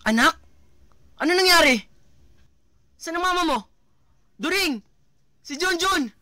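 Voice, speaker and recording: A man's voice: extremely high pitch, expressive, a little slow, bright, forceful tone, full character voice. A man playing a loving, struggling father who talks earnestly to his children in an amateur radio drama. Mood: alarmed. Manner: tender, earnest.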